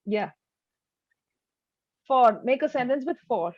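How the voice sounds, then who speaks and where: High-pitched woman tutoring spoken English. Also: animated, slow, no room sound, dull audio. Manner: instructive, demonstrates sentences with exaggerated intonation. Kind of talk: one-to-one speaking lesson.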